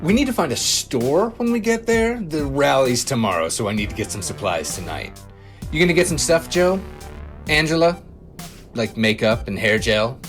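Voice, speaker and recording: A man playing a cocky, gleefully condescending alien villain in an audio comedy, chatty, smug and excitable. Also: medium pitch. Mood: excited, taunting. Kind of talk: audio comedy drama dialogue.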